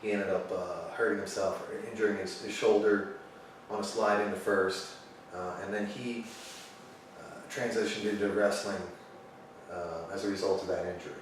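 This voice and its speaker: A man's voice: on the low side, steady tone, moderate pace, a little hiss or hum. Eager male interviewer, echoey distant mic. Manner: eager, agreeable, many short backchannels. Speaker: interviewer, host. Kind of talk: casual interview.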